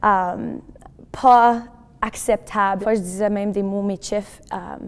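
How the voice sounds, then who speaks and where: A woman from Manitoba giving a calm, earnest personal testimony. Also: medium pitch, slow, clean tone. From Manitoba, Canada. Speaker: interviewee. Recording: echoey room with faint music.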